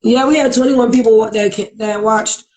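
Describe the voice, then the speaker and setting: A woman with a heavy, raspy voice and a congested throat, hosting a casual live podcast chat, chatty and full of "like" and "um", often clearing her throat. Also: fairly high pitch, fairly even intonation, moderate pace, a crowd in the background. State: congested from allergies. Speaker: podcast host. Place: at home in Virginia.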